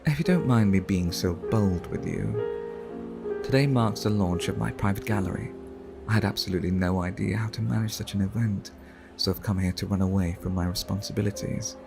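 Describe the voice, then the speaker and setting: A man playing a suave, refined vampire art collector in an ASMR roleplay, speaking in a formal, courteous, flirtatious and measured way. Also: fairly low pitch, vocal fry, music in the background. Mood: courtly, musing.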